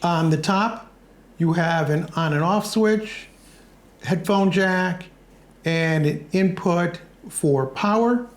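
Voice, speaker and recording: A man reviews a small radio in a plain, measured, scripted narration. His voice sits a little high for a man, and he keeps a steady, even delivery. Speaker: product reviewer.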